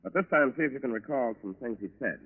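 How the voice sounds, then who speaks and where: An actor playing a businesslike federal agent, clipped and probing, in a 1940s radio crime drama. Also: male voice, medium pitch, expressive, fast, lightly acted. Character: brisk, businesslike male federal agent questioning witnesses. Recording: tinny, band-limited 1940s radio recording.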